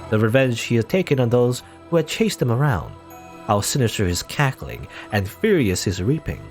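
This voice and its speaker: A man's voice: mid-range voice, big pitch swings, moderate pace, faint music behind. A young man reading a visual novel aloud dramatically, breaking off into rambling asides full of fillers. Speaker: let's player.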